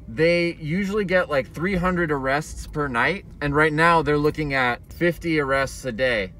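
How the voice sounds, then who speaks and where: Young man, car vlogger, slightly high voice, muffled through a mask, faint road hum behind. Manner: candid, chatty, worried. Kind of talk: casual vlog commentary. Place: inside a car. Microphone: camera on the dashboard. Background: faint car and street noise.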